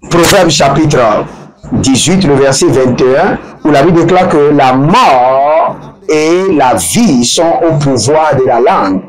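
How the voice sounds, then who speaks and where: A man leading a deliverance prayer service, preaching slowly and emphatically and chanting long runs of speaking in tongues. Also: a little high, rough recording.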